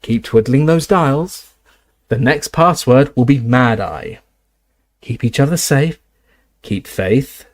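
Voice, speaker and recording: A man's voice: mid-range voice, animated, slow, pauses often. A man voicing a young host of a secret radio show, signing off in an upbeat broadcaster's manner.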